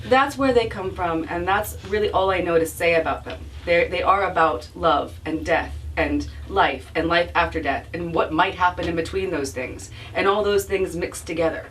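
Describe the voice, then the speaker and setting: A woman's voice: on the low side, moderate pace, hardly any pauses. A woman author speaking informally at a bookstore event, reflective and self-deprecating, often restarting her phrases as she explains her work. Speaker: author being interviewed about her book. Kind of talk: informal spoken book talk with audience. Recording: distant mic, faint room noise.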